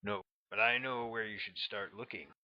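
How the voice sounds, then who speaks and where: A man's voice: fairly low pitch, steady tone, normal speed, dull audio, lightly acted. A man voicing a shady ex-Green Beret in an audiobook, talking in a colloquial, conspiratorial way. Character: shady male ex-Green Beret spreading eerie rumours.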